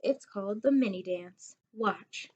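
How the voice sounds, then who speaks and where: A woman voicing an excitable, chatty little boy in a storybook reading. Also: mid-range voice, measured pace, lo-fi sound, close to an everyday voice. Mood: eager.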